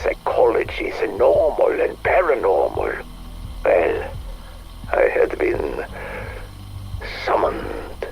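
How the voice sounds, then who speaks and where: A haunted occult scholar with an extremely low, heavily creaking voice. He reminisces slowly in florid, halting phrases, as a video game monologue. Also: slight background noise, exaggerated voice, poor audio. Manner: halting, breathy, florid storytelling. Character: haunted, obsessive male occult scholar reminiscing on his life. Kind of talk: dramatic monologue, game audio log.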